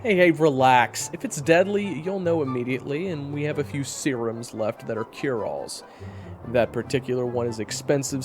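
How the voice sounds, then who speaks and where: A man playing a blunt, excitable vampire hunter with a gruff, teasing temper in an audio roleplay. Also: mid-range voice, pitched above the performer's own voice, close to an everyday voice. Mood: excitable, goading.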